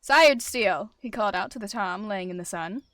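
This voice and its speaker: A woman narrates a roleplay story in the third person. Her voice is medium in pitch, recorded close with very little room sound. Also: fast talker, fairly bright tone, no echo.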